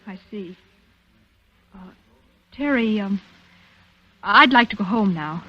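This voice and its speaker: A dull old radio recording of a bold young sweetheart. She is poised, thoughtful and questioning, with a medium-pitched voice in the manner of 1940s thrillers. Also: animated, slow, dry recording. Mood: preoccupied. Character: inquisitive, bold young woman, a detective's well-bred sweetheart. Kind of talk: 1940s radio drama acting.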